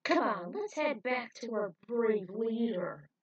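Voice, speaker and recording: Woman doing a high, boastful mutant monster soldier. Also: husky, muffled recording, exaggerated voice. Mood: gleeful, boastful. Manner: boastful, excited, drawn-out shouts. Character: overzealous mutant monster soldier, eager to fight.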